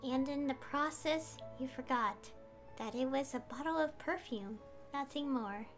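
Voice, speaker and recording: A woman's voice: unhurried, fairly bright tone. A woman voicing a tiny, chirpy floating female companion creature in a video game, over game music. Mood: blunt. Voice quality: high and chirpy.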